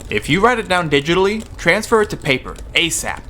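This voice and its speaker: Bright, forceful, scheming teen boy leader acted by a man. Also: sits high, sing-song, brisk, a little hiss or hum, exaggerated voice. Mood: rallying. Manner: commanding, terse, urgent. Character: intense, scheming teenage boy leading a debate team. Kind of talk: amateur radio drama acting.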